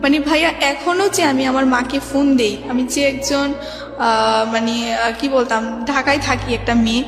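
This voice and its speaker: A woman's voice: sits high, fairly even intonation, normal speed. A young woman with a Bangladeshi accent telling her life story informally as a guest, rambling and often quoting remembered dialogue. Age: young adult. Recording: reverberant hall echo, music behind.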